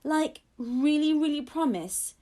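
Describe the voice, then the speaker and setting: Woman as an earnest, pleading schoolchild, pitched high. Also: expressive, takes it slowly, dark tone. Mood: hopeful. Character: earnest, excitable schoolchild narrator of a children's story.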